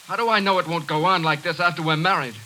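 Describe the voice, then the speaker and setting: A man's voice: a little high, fast talker, bright, forceful tone. An actor playing a dejected, tormented young man in love in a 1940s radio drama. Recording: faintly hissy 1940s radio broadcast.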